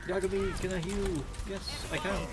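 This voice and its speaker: A man playing as a teammate, calling out in-game moves with an energetic manner. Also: high voice. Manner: energetic, quick callouts. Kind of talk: casual gaming voice chat.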